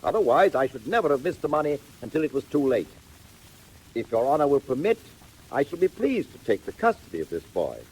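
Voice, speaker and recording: An actor playing a kindly, genteel wealthy gentleman in a 1940s audio drama, courteous, inquisitive and theatrical. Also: medium pitch, very animated, fairly quick, breathy edge, rough recording. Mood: urgent, indignant.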